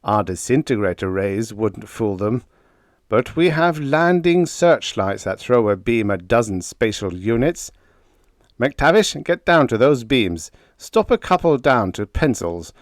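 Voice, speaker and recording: A man voicing a gruff, bold starship commander who barks crisp military orders in an audiobook story. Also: mid-range voice, very animated, a little slow, no echo, studio quality, voice pushed up. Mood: brisk, commanding. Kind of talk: dramatised audiobook character dialogue.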